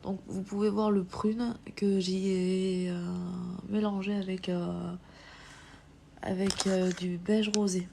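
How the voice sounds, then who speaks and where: A woman with a cold talks slowly through a live makeup tutorial, her voice gravelly and mellow. She hesitates often with filler sounds and slips into a whisper while applying makeup, picked up on a phone mic during a livestream. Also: medium pitch, level tone, a little hiss or hum. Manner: hesitant, many filler pauses. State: has a cold.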